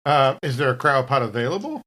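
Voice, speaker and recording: A woman's voice: very deep voice, lively intonation, fairly quick, fairly bright tone. A woman playing a tabletop game, casually and wryly thinking through what her character does.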